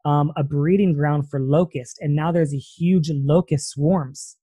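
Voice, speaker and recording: A man's voice: fairly high pitch, fairly even intonation, a little slow, warm tone, breathy edge, no room sound. A man hosting a casual interview podcast, talking in a rambling, filler-heavy conversational way. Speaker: podcast host.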